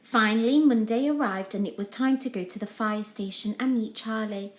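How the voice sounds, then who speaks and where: A woman's voice: on the high side, normal speed. A woman reading a children's story aloud as a scripted storytime narration. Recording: echoey room.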